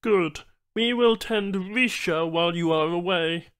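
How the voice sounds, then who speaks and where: A man voicing a formal, eager male alien trader who speaks in halting, oddly ordered phrases for an audiobook. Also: sits high, a bit bright, clean tone, pitched above the performer's own voice. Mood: businesslike, halting. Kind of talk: audiobook character voice.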